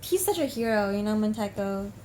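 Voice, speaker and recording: A woman's voice: mid-range voice, lively intonation, fairly quick, noisy background. A woman chatting casually as co-host of a game story read-along. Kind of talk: casual chat.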